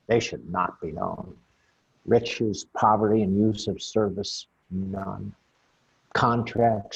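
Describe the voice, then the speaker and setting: An actor playing a musing old courtier in a staged Shakespeare monologue, declaiming in halting short phrases with a husky, gravelly voice over a video call. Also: male voice, fairly low pitch, full character voice. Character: musing old courtier describing his ideal utopia. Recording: dull, muffled video-call audio.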